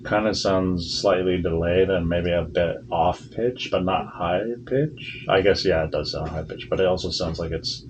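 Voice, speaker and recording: A young man with a very low voice talks haltingly, pausing to find the right words. Clear room noise hums behind him. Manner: hesitant, searching for words, describing sounds. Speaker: cochlear implant patient. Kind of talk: casual conversation.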